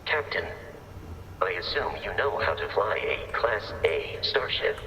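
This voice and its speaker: Formal, deep robot companion. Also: male voice, a little slow, slight background noise, exaggerated voice, poor audio. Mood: dry, polite. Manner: formal, precise, deadpan. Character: male robot companion, formal, literal-minded, dutiful ship's assistant. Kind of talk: scripted game dialogue.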